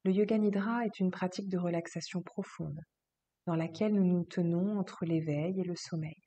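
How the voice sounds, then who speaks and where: A woman yoga teacher leading a guided relaxation meditation, speaking slowly and calmly in a soft, husky voice with long pauses. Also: lowish voice. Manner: slow, calm, soothing instruction with long pauses.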